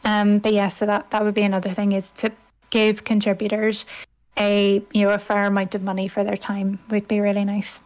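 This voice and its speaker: A woman's voice: mid-range voice, completely flat delivery, fairly quick, a little mellow. A woman in her thirties with a Northern Irish accent and a youthful, girlish voice, chatting casually as an interview guest in a hesitant, self-deprecating way. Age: 30s. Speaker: interview guest, author and podcaster. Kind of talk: casual chat.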